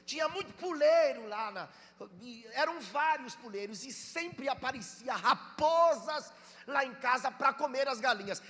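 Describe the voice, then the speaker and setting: A Brazilian pastor from Bahia with a Bahian accent, preaching a sermon, reading scripture slowly and calling on the congregation to answer. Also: male voice, very high voice, animated, sharp tone, in a large hall. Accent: Bahian Brazilian Portuguese. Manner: reads scripture slowly and drawn out, urges listeners to respond.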